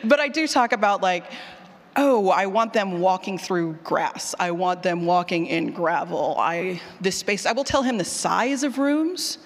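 A speaker giving an informal conference talk on writing, with animated asides and questions repeated back to the audience. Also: medium pitch, a little slow. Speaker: presenter, fiction podcast writer. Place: conference session room. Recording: hall with long reverb.

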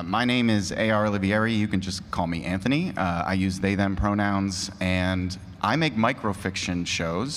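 A man's voice: low voice, a bit bright. A man speaking as a panelist, introducing his creative work at a conference. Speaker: panelist, audio drama maker. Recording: reverberant conference hall.

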